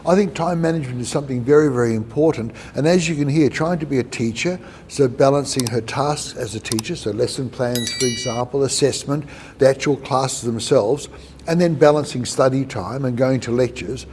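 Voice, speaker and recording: A middle-aged man hosting a conversational interview, speaking in a measured way, asking questions and summing up answers. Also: medium pitch, darkish tone, slight background noise. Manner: measured, repeats and sums up answers. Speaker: podcast host.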